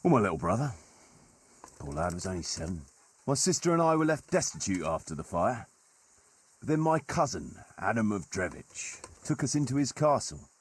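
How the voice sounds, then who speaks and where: An actor playing a gruff, wry medieval nobleman turned mercenary leader in a video game, telling stories in colloquial, old-fashioned turns of phrase with a husky voice. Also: fairly low pitch, big pitch swings, moderate pace. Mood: bitter, reflective. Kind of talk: dramatic storytelling in a video game cutscene.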